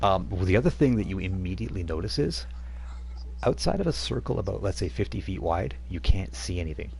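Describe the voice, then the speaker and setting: A man's voice: sits low, lively intonation, fairly quick, creaky, warm tone, slight background noise. A man running a tabletop roleplaying game as game master, telling the scene in a rambling, chuckling way with many fillers.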